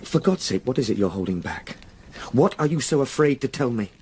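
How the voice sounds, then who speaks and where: An actor playing an earnest, shaken young gentleman in a radio drama, questioning with urgent intensity. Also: medium pitch, very animated, a little fast, a little hiss or hum, lightly acted. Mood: agitated.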